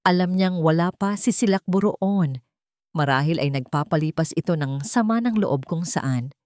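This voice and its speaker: A Filipino woman reading a scripted dramatic story in a solemn, measured way. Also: on the low side, lively intonation, a little mellow, no echo, studio quality. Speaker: narrator.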